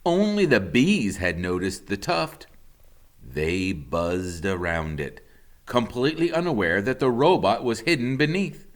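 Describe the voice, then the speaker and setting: A man reading a children's storybook aloud expressively, with deliberate dramatic emphasis and hushed drops. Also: on the low side, big pitch swings.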